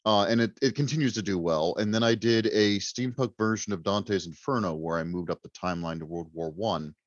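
Low-voiced man, slightly muffled. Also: fairly quick, dry recording. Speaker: author, panel guest. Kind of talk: casual panel chat.

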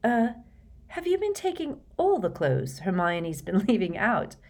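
A woman's voice: medium pitch, very animated, unhurried, darkish tone, voice pushed up. A woman voicing a teenage boy in a storybook, understated and casual, with a kindly, subdued temper.

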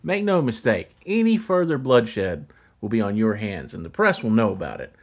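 An actor playing a middle-aged president who is guarded and calculating, with smooth, veiled threats. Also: male voice, on the low side, big pitch swings, normal speed. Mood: menacing. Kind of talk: radio drama acting.

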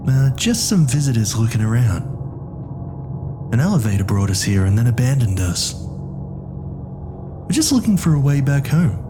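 A man's voice: medium pitch, very animated, normal speed, pauses often, over music. A man hosting a story podcast in character, talking casually as if guiding a companion through an audio drama. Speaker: host and guide of a story podcast.

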